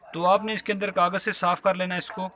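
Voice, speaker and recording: A Pakistani man with a fairly high, bright and forceful voice hosts an informal how-to video. He explains each step politely and tends to repeat himself, recording on a phone held in his hand. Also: little pitch movement, a little fast. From Azad Kashmir, Pakistan. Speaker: repair tutorial host. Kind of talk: informal hands-on tutorial narration. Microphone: handheld phone while working.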